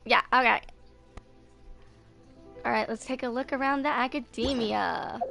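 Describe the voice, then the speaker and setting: A woman's voice: fairly high pitch, steady tone, unhurried, gravelly, sharp tone, soft background music. A woman in her twenties chatting casually while streaming a video game, rambling and hesitant as she goes. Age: 20s. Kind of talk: casual live gameplay chat.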